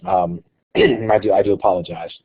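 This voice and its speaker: A man presenting as a guest speaker in an online meeting, a little raspy from a cold, talking haltingly. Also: lowish voice, very animated. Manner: halting, with fillers. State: has a cold, slightly raspy. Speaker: guest presenter, college director. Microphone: web conference audio. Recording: tinny phone-like line.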